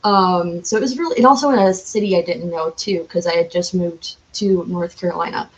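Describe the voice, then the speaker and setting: A woman's voice: lowish voice, a little fast, rough recording. A woman from the United States chatting casually as a podcast guest, rambling and correcting herself as she goes. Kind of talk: casual chat.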